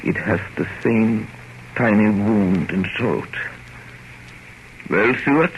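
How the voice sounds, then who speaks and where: Older professor, grave vampire hunter, deliberate and probing, old radio drama w/ some hiss. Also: male voice, on the low side, highs cut off. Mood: grave, reporting. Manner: deliberate, probing, rhetorical, stilted grammar. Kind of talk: 1930s radio drama acting. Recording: noisy old 1930s radio recording.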